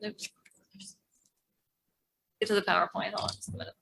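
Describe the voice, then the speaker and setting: A woman's voice: medium pitch, little pitch movement, moderate pace, vocal fry, a bit bright, a little hiss or hum. A woman city official presenting at a council meeting, informal and talking through asides as she sets up slides, while losing her voice. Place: council chamber.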